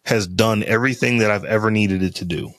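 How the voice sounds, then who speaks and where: Man, deep lowish voice, casual rambling podcast chat, dry close mic. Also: fairly quick, fairly bright tone, dry recording. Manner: rambling, loose talk with many fillers and restarts. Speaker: podcast co-host. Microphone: close studio mic on a podcast interface.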